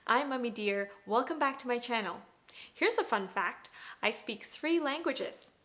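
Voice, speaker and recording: A woman in her thirties whose first language is Cantonese, giving a bright, upbeat, clearly spoken scripted talk to camera as a parenting vlogger. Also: fairly high pitch, expressive, a little slow.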